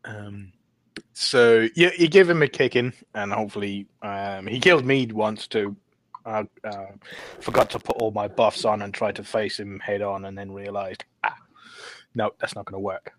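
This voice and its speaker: A man chatting casually on a podcast panel, recounting gameplay in a rambling, halting way. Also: on the low side, no room sound, poor audio.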